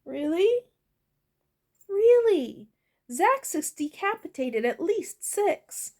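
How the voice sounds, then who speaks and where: A distraught female goblin cook from a storybook, acted out by a woman, wailing in a very high voice. She is meek yet dramatic, and her pitch leaps about as she draws out her words. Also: sing-song, slow pace, exaggerated voice. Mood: upset, amazed. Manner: wailing, overwrought.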